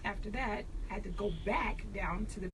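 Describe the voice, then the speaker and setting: A woman's voice: medium pitch, normal speed, recorded from a distance, background noise. An adult woman from the United States, a guest telling stories from her life in a chatty, candid way and laughing often. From United States, born in Virginia. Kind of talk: candid interview storytelling. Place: studio.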